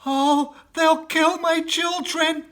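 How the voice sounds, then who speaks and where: A man voicing a mother fox, high and pleading, fearful for her children. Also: measured pace, fairly bright tone, heavily acted. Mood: sobbing. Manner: pleading, sobbing.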